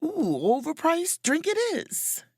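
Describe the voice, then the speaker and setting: A woman's voice: sits high, wildly swooping pitch, slow pace, heavily acted. A woman playing a wry, awkward, overworked starship chief mate on vacation in a romantic comedy audio drama, with muttered, self-interrupting asides. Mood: flustered, flirty.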